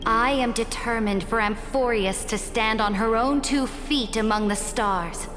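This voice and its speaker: Game role: unrepentant warrior empress played by a woman, high and declamatory, long reverb, clear music behind. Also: unhurried, natural voice. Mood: proud, defiant. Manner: grand, declamatory, formal oratory. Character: proud, imperious conquering empress, commanding and unrepentant. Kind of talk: dramatic video game voice acting.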